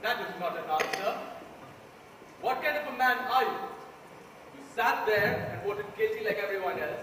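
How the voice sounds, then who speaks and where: A man's voice: high-pitched, animated, moderate pace, bright, forceful tone. A young Indian man on an amateur stage, playing a stern, indignant juror of firm principle. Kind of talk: amateur stage drama acting. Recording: echoey hall from far off, hiss.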